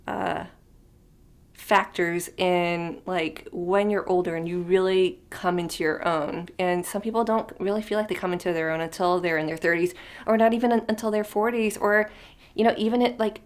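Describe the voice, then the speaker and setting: A woman in her thirties talking casually to her camera, her voice sitting a little low. She rambles and thinks out loud, leaning on fillers, and now and then drops into a soft near whisper. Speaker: vlogger. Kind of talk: unscripted casual chat to camera.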